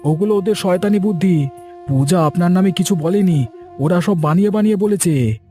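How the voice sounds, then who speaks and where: Man putting on a high-pitched loyal servant. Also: moderate pace, mellow, soft background music, close to an everyday voice. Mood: urgent. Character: loyal, earnest male servant warning his master. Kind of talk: Bengali cartoon dubbing.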